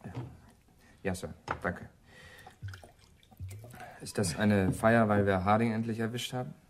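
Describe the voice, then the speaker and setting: An actor playing a dutiful, sensible junior police detective in a radio crime drama, deferential and matter-of-fact. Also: male voice, lowish voice, fairly even intonation, a little slow, a little hiss or hum, natural voice. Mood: concerned, reasonable.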